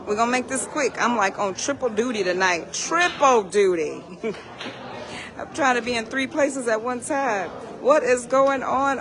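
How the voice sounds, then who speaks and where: A hoarse woman, hosting a casual live chat for alumni, talking fast and chatty and repeating herself. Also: on the high side. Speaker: alumni association host.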